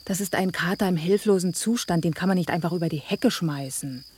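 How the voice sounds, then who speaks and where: An actress playing a sensible, sardonic mother cat, dry, well-spoken and gently chiding, in a radio drama. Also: on the low side, brisk, a little hiss or hum, natural voice. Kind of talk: German radio drama acting.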